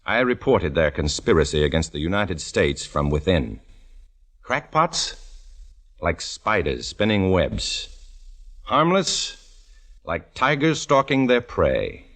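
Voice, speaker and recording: A tense undercover agent narrator. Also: male voice, sits low, sing-song, takes it slowly, fairly bright tone, heavily acted. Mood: grim. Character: male undercover agent narrating his tale. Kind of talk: 1950s radio drama acting and narration. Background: music.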